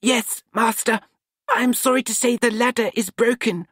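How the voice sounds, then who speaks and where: A man's voice: very high voice, steady tone, normal speed, a bit bright, husky, dry recording, studio quality, pitched above the performer's own voice, full character voice. A man voicing a meek, cheerful young servant in an audiobook, deferential and earnest. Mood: meek, polite.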